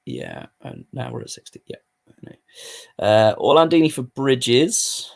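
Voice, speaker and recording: A hoarse man giving casual, sweary commentary while playing a football management game. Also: mid-range voice, big pitch swings, a little slow. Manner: swears freely, muttering asides while playing. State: hoarse, voice going. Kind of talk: casual live gaming commentary.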